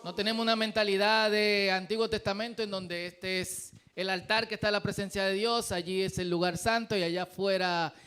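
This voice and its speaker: A man's voice: high voice, steady tone, a little fast, few pauses, pushed and bright, clear, resonant tone. A man with a Dominican accent preaching a sermon in a church, in a conversational, humble way with frequent fillers. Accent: Dominican Spanish. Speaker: pastor.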